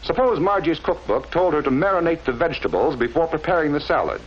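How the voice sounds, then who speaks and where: A man narrating a scripted 1940s instructional film in a measured, didactic way with careful enunciation, on a vintage film soundtrack. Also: fairly high pitch, animated, slightly rough, lo-fi sound.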